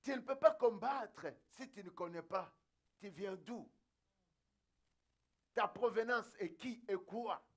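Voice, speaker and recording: A man's voice: sits high, slow, long pauses. A male pastor preaching a sermon in an emphatic, exhorting and repetitive way.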